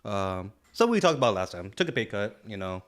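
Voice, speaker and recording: A man's voice: fairly low pitch, sing-song, quick pace, fairly bright tone. A man co-hosting a podcast, easygoing and chatty, often repeating words of agreement. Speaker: podcast co-host. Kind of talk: casual chat.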